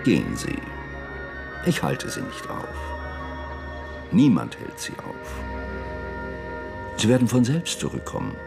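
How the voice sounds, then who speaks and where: Casting: cold, imperious ruler for radio drama, deep voice, highly animated intonation, music behind. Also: male voice, slow, vocal fry, long pauses, exaggerated voice. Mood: cold, domineering. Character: commanding, coldly assured male ruler, taunting a subordinate. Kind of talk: German radio drama acting.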